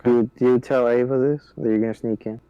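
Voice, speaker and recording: A man with a halting delivery, discussing plans as a player in a tabletop game. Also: medium pitch, fairly even intonation, clear, resonant tone, no room sound. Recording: dull, lo-fi, dry microphone.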